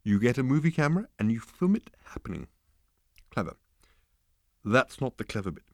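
Breezy drunken man for storybook reading, man performing: repetitive, emphatic, highly animated, dry sound. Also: moderate pace, dry recording. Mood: blithe, enthusiastic. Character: drunken, breezily enthusiastic man, rambling and blithe.

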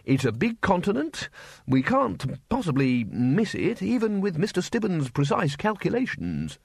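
A man's voice: high-pitched, huge pitch swings, measured pace, creaky, breathy edge, no echo, studio quality, pitched above the performer's own voice, exaggerated voice. A man voicing a large, bluff head wizard who talks in a jovial, blustering way. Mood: hearty.

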